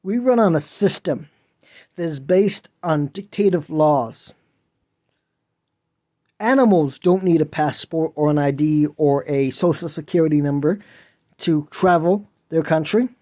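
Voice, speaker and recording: A man's voice: a little high, unhurried, darkish tone. A man hosting a podcast, delivering an impassioned solo monologue in a whiny voice, earnest and preachy as he pleads with listeners. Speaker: podcast host.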